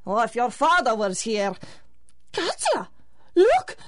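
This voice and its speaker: An actress playing a fretful, excitable older mother in a 1930s-style radio drama. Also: on the high side, slow pace, heavily acted. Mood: alarmed. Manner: fretful, exclaiming.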